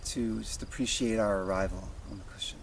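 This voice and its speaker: A man leading a guided meditation as a teacher, speaking in slow, deliberate phrases with long pauses. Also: on the low side, fairly even intonation, gravelly, slight background noise.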